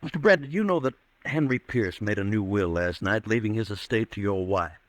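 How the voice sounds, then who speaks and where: A man acting a measured district attorney's investigator in an old-time radio drama, formal and methodical. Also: lowish voice, lively intonation, no room sound, natural voice. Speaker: radio actor.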